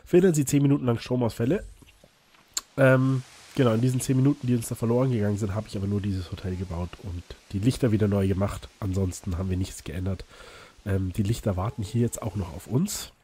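Let's play host, male, a bit hoarse, chatty and rambling, faint hiss behind. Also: lowish voice, quick pace, warm tone. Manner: chatty, rambling, frequent fillers and restarts. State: slightly hoarse from illness. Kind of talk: casual gameplay commentary.

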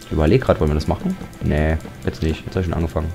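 Deep, chatty man over game music. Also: expressive, very fast, creaky. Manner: chatty, joking, grumbles at mobs. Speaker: Let's Play commentator. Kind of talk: casual gameplay commentary.

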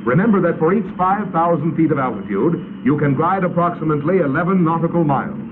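An American man narrating a 1950s instructional film in a crisp, authoritative, instructional manner. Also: fairly high pitch, expressive, fast talker. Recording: tinny, noisy 1950s film soundtrack.

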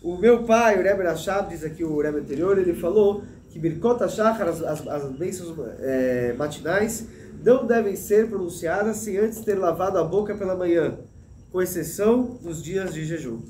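High-pitched guy in his 30s teaching torah, animated, desk mic in an echoey small room. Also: normal speed, slight background noise. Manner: animated, gesturing, with many restarts. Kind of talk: Torah lesson. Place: small room with a plain wall, indoors. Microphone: desk mic in front, medium framing.

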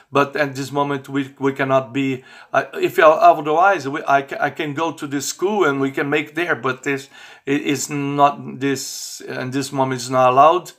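A man in his fifties, not a native English speaker, talking informally to camera in halting, repetitive phrasing. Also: mid-range voice, fairly bright tone. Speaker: amateur radio operator and vlogger. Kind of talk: informal video message to camera. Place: small bedroom, indoors.

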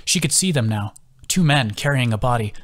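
A man's voice: medium pitch, expressive, fairly quick. Man narrating a fantasy audiobook. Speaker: audiobook narrator, author reading own book.

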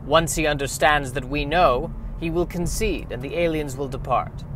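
A man's voice: fairly high pitch, normal speed, natural voice. A man playing a pompous, naively confident scientist with formal, wordy speech in a comic sci-fi radio play. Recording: noisy recording.

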